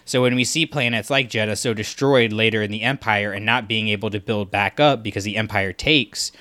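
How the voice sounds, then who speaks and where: Bright-voiced man chatting on a podcast. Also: lowish voice, fairly even intonation, a little fast. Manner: rambling, filler-heavy, enthusiastic. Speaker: podcast co-host. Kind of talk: casual discussion.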